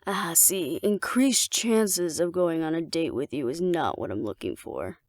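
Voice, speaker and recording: A woman's voice: sits low, lively intonation, unhurried, darkish tone, no echo, clean studio sound. A woman playing a cocky, flirtatious female alpha werewolf in an intimate audio roleplay, speaking to the listener in a wry, teasing, confident way.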